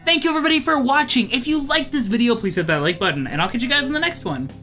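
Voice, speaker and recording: A man hosting a let's play, giving casual, expressive commentary on a game. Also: very high-pitched, very fast. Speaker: let's play host reading a visual novel aloud. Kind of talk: gameplay commentary and dramatic read-aloud. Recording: music behind the voice.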